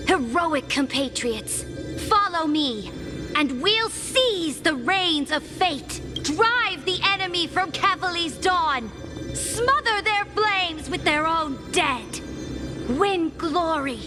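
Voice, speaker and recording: A woman's voice: very high voice, lively intonation, slow, exaggerated voice. A woman voicing a proud, commanding warrior queen in a game, declaiming imperiously to rally her people. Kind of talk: scripted dramatic game oratory. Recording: music behind the voice.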